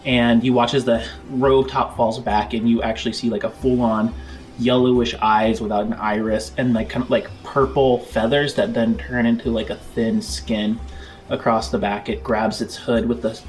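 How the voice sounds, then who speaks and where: A man narrating a tabletop space game as game master, improvising scene descriptions in halting, informal phrases. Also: mid-range voice, level tone. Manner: halting descriptions padded with "like" and "kind of".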